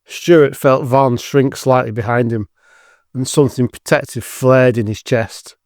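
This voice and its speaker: A man's voice: mid-range voice, steady tone, measured pace, a little mellow, no echo, studio quality. A man giving scripted narration as the storyteller of an audio drama.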